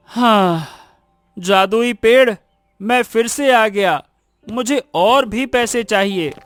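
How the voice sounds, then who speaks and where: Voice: mid-range voice, slow pace, a bit bright, soft background music. A performer voicing Raju, an impulsive, emotional young son in a cartoon moral story, often repeating words. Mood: eager. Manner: stammering, repeats words when anxious. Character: Raju, greedy boastful young man turned desperate, remorseful son.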